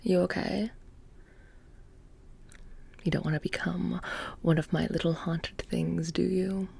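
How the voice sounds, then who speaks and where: A soft-spoken woman doing close, whispery ASMR roleplay as a witch shopkeeper, with a casual, halting, deadpan menace. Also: lowish voice, vocal fry, husky.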